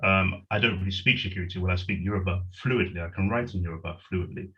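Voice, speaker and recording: A man's voice: low voice, fast. A middle-aged man from Nigeria, a writer on a discussion panel, talking in a rambling, self-correcting way over a video call. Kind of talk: discussion, webinar. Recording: dull lo-fi call audio.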